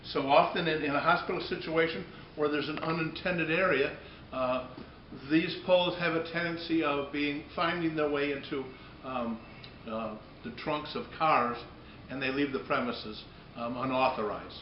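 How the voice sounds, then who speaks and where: A middle-aged or older man giving an unscripted product demonstration, often repeating and rephrasing himself in a halting way. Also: fairly high pitch, fairly even intonation. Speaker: company presenter demonstrating a product. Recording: echoey room, dull muffled audio, noticeable background noise.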